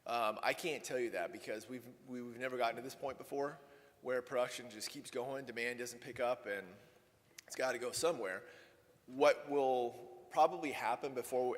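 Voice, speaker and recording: A man giving a conversational, wry conference talk on policy, often correcting himself mid-sentence. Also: medium pitch. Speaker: energy policy speaker. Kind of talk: conference presentation with Q&A. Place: conference hall. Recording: long hall reverb.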